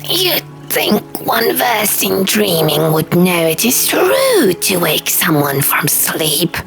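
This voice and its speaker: A woman's voice: lowish voice, wildly swooping pitch, slow, creaky, a bit bright, soft background music, heavily acted. Woman voicing a pompous gargoyle, swooping intonation. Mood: indignant. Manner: formal, prim, wordy phrasing. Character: stone gargoyle, sleepy, fussy, pompous and literal-minded. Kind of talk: audiobook character voice.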